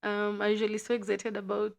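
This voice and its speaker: A woman's voice: mid-range voice, completely flat delivery, fast talker, creaky. Woman, podcast host, chatty explainer, mid-range everyday voice. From Kenya. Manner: chatty, laughs often, explains with lots of "like". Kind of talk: casual chat.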